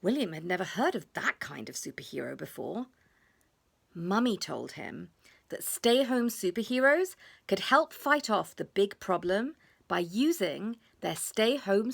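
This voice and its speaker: Lady reading a kids storybook, really animated, slow, bit of creak in her voice. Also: medium pitch. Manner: dramatic pauses, drawn-out emphasis, drops to hushed tones. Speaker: children's story reader. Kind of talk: expressive children's storybook narration.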